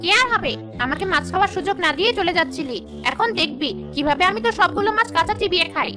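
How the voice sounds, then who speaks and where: A woman voicing a greedy, sly female river ghost who schemes aloud in an animated folk tale. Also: very high voice, quick pace, over music. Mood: menacing. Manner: scheming, talking to herself.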